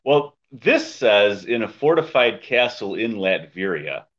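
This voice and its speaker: A man's voice: fairly low pitch, big pitch swings, unhurried, a bit bright. A man co-hosting a podcast, talking in a casual, wry, conversational review style over a dull lo-fi recording. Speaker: podcast co-host. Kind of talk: casual comic book review chat. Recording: dull, lo-fi microphone sound.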